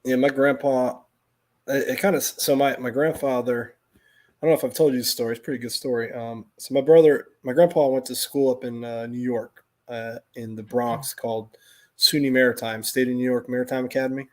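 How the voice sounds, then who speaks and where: A man's voice: medium pitch, fairly even intonation, brisk, a little mellow. A middle-aged man with an American accent chats casually on a livestream, telling stories in a rambling way and often interrupting himself. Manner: rambling, self-interrupting storytelling.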